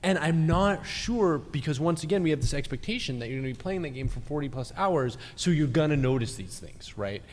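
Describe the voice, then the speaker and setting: A man on a live podcast panel, explaining in a measured, emphatic way, recorded in a convention hall. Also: lively intonation, darkish tone. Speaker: guest panelist. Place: large indoor convention panel room with live audience. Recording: echoey hall with clear noise, rumble.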